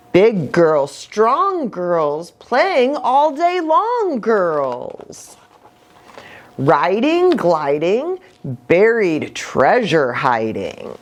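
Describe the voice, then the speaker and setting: A woman hosts storytime for young children with hugely swooping, animated intonation. She takes her time, asking questions and adding asides as she reads aloud. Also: a little high. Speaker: children's ministry host and pastor.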